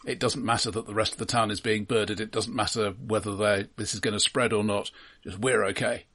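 A man co-hosting a casual film discussion, speaking in an analytical, halting way as he works out his points. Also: fairly low pitch, steady tone, fairly bright tone, slightly rough. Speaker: film podcast co-host.

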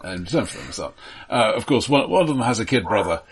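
A man co-hosting a casual film podcast with dry, ironic humour, often halting and repeating words as he goes. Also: fairly low pitch, sing-song, slightly rough. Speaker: podcast co-host.

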